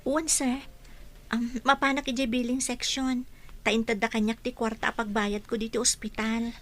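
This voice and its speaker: A woman's voice: fairly high pitch, flat delivery, moderate pace, fairly bright tone, lightly acted. A woman with an Ilocano Filipino accent playing a young, respectful, earnest housemaid in a radio drama. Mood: polite, concerned.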